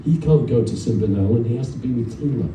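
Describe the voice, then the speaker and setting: A man's voice: medium pitch, fast, close to an everyday voice. A man voicing a cheeky, determined schoolboy troublemaker, eager and brazen, from a children's storybook. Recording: roomy distant event recording, faint noise.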